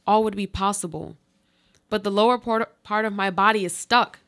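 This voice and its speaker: A woman playing a desperate, pleading earthquake victim buried alive, in a deliberate, literary radio play monologue. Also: medium pitch, fairly bright tone, lightly acted. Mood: anguished pleading. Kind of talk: live radio play monologue, read from a script.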